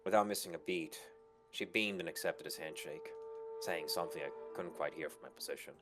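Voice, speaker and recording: Male narrator, very low pitch, first-person storytelling, visual novel read-aloud, soft music behind. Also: fairly quick. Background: background music.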